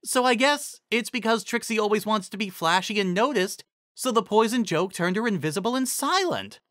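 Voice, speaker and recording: A performer voicing a brisk, exclamatory, observant female pony in a fantasy story reading. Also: mid-range voice, lively intonation, fairly bright tone, dry recording, clean studio sound, voice pushed up, close to an everyday voice. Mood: realizing. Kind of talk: dramatic fan fiction reading.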